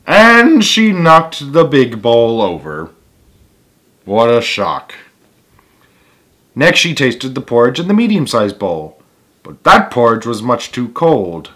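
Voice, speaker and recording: A man's voice: medium pitch, very animated, takes it slowly, long pauses, a bit bright. A man reading a children's story aloud as its host, with emphatic delivery and casual asides.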